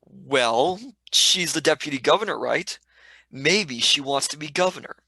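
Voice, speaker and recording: A man plays a flippant young sidekick in a radio play. His voice is bright and sharp, recorded dry. He argues in colloquial wisecracks. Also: a little high, unhurried, gravelly, husky, no room sound, full character voice, low-quality recording. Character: flippant, cheeky, argumentative young companion. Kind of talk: radio play script reading.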